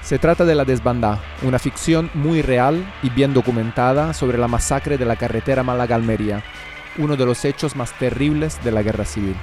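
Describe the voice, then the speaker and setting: A man's voice: mid-range voice, normal speed, music in the background. A man from outside Spain presenting and interviewing on the radio.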